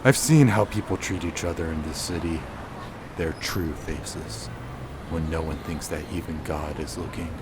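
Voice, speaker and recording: An actor playing a weary, bitter officer who narrates an animated drama in a brooding, scripted noir manner. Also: male voice, very deep voice, moderate pace, very noisy. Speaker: narrator and main character.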